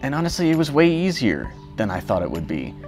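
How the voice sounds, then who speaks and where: A young man talking casually about his motorcycle trip, relaxed and rambling with fillers and self-corrections. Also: mid-range voice, a little fast, soft background music. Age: young adult. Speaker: motorcycle vlogger. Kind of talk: casual reflective vlog talk.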